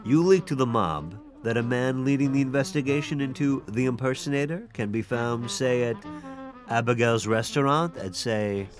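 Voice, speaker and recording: An actor playing an aging, stubborn police detective in a radio drama, terse and gruffly confident. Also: male voice, medium pitch, moderate pace, over music, close to an everyday voice. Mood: cocksure.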